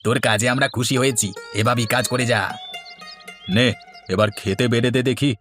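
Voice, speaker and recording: A man acts out a bossy eldest brother in a cartoon tale. He is lazy and scheming and speaks in commands at a middle pitch, over background music. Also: fairly even intonation, moderate pace, fairly bright tone, lightly acted. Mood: commanding. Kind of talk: cartoon story voice acting.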